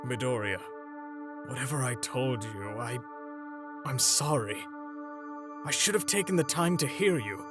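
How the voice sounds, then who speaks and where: A man acting an earnest, fatherly older hero in a fan comic dub, pleading in a measured, dramatic way. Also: medium pitch, lively intonation, over music, natural voice. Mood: apologetic.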